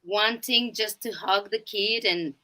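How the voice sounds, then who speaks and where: A woman educator answering a panel question gently, with many fillers. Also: mid-range voice, a little slow.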